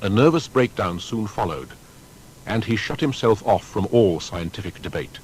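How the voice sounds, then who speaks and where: A man's voice: on the low side, animated, moderate pace, a little mellow, a little hiss or hum. A man narrating a scripted biographical documentary in a dramatic, emphatic storytelling manner.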